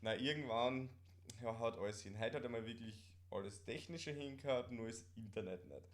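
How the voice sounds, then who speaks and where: A man's voice: mid-range voice, moderate pace. A man with an Austrian accent chatting casually as co-host of a live stream, in colloquial dialect and often repeating words.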